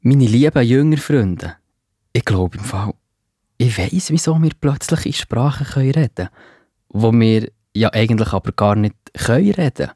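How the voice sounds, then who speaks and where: A man's voice: medium pitch, lively intonation, normal speed, warm tone, breathy edge, no echo, clean studio sound. A man with a Swiss German accent playing an earnest disciple preaching in a children's Bible audio drama. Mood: earnest, thoughtful. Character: Peter, earnest male disciple of Jesus preaching.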